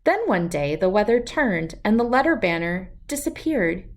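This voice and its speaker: A woman reading a children's story aloud in an expressive, deliberate, dramatic narrating style. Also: medium pitch. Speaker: storybook reader.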